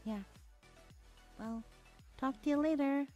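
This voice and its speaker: A woman's voice: high voice, slow pace, a little mellow, soft background music. A woman playing a sassy, blunt twenty-one-year-old best friend in a casual, slangy audio roleplay, often sighing. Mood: frank, supportive. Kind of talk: conversational ASMR roleplay acting.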